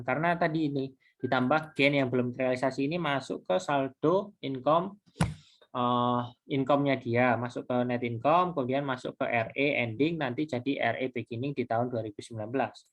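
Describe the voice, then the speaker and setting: Male lecturer, medium pitch. Conversational, halting tutorial delivery, circles back over points as he explains. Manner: halting, repetitive, conversational, with self-posed questions. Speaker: accounting lecturer. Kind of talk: informal recorded tutorial, explaining step by step.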